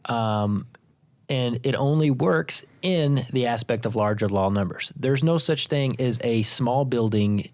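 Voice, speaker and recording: A man's voice: mid-range voice, unhurried, a little mellow, dry recording. A man co-hosting a podcast in casual chat, explaining ideas step by step and teasing his co-host. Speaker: podcast co-host, insurance agency leader.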